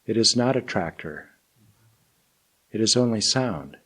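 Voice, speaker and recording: A man teaching and guiding meditation in deliberate, measured phrases with long pauses. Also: fairly low pitch, expressive, fairly bright tone, breathy edge. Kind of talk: guided meditation and spoken teaching.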